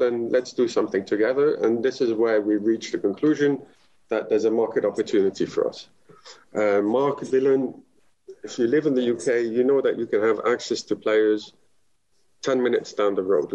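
A man's voice: medium pitch, mellow, no room sound, muffled recording. A man from Lebanon, a guest talking with enthusiasm in a casual podcast chat, rambling with many fillers. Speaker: guest, business founder.

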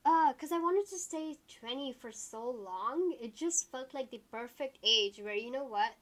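A young woman in her early twenties, talking in a loose, rambling way with lots of "like" and quick asides. Her voice sits a little on the high side. She is co-hosting a casual podcast chat. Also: animated. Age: 20s. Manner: chatty, many fillers, quick asides.

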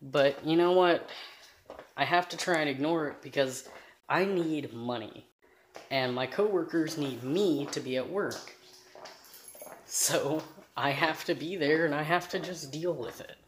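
A man with a high voice giving an earnest, rambling personal monologue to camera, trailing off into fillers as he thinks aloud. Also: a little slow, slight background noise. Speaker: vlogger.

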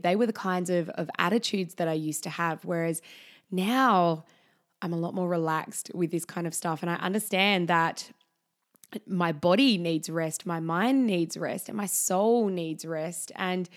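A woman hosting a solo podcast, earnest and soft-spoken, her voice slightly husky from being unwell. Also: on the low side. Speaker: podcast host. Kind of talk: reflective solo podcast monologue.

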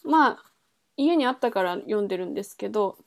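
A woman's voice: mid-range voice, lively intonation, fairly quick, creaky. A woman podcast host talking casually and informally, thinking aloud and correcting herself as she explains things. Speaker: podcast host introducing a book. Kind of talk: casual chat explaining a novel.

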